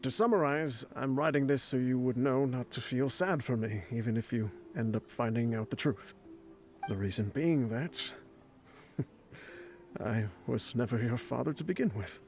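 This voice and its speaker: A man's voice: mid-range voice, normal speed, a little mellow, a little hiss or hum, close to an everyday voice. A man playing an ailing old mercenary leader in a video game, wry, boastful and fatherly as he voices a letter. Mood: wry, reflective. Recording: game music behind the voice.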